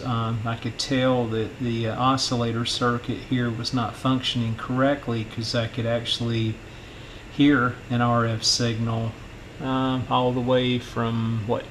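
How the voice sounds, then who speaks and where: A middle-aged man giving an informal hands-on repair walkthrough, halting and unhurried as he thinks aloud. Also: medium pitch, a bit bright, slight background noise, low-quality recording. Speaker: host of a radio repair channel. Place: indoor electronics workbench.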